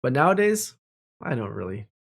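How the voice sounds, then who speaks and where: A man's voice: mid-range voice, very animated, moderate pace, vocal fry. A young man telling personal stories in a casual, candid and reflective way, speaking informally. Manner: candid, informal, with mild swearing.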